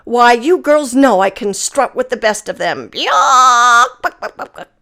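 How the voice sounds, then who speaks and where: A woman voicing a group of vain, jealous female farm hens for a storybook, with high squawking chatter and clucking noises. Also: lively intonation, a little slow, bright, forceful tone, heavily acted. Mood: excited.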